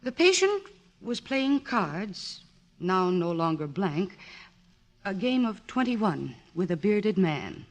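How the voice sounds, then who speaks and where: An actress playing a composed, determined young woman psychiatrist in an old-time radio drama, speaking in a measured, articulate, earnest way. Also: mid-range voice, sing-song, exaggerated voice. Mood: measured, careful. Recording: restored vintage radio, orchestral music behind.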